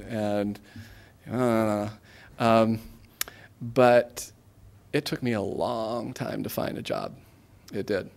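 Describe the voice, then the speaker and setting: Male, thirties, American accent, slightly low voice. Informal guest speaker, conversational, stop-and-start phrasing. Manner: conversational, halting, self-interrupting. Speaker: guest speaker, government aid regional coordinator. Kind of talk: informal career talk to students.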